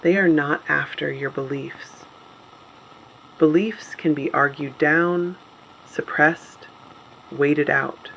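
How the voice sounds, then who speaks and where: A performer playing a solemn, resolute resistance radio host in a scripted dystopian drama, speaking gravely and deliberately in short phrases. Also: medium pitch, fairly even intonation, fairly bright tone, slight background noise, low-quality recording.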